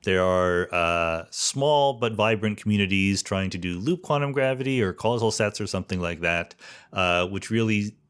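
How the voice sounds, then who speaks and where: A man hosting a podcast interview, who asks long, thoughtful questions in a measured way. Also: on the low side, a bit bright, clear, resonant tone. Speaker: podcast host.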